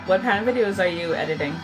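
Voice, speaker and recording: A woman's voice: mid-range voice, rough recording. A woman hosting a live podcast stream, upbeat and laughing often as she greets viewers and asks questions. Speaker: podcast host.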